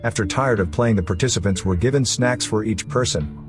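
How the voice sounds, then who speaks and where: A man's voice: fairly low pitch, brisk, over music. A man reading scripted narration for a story recap video, as its narrator.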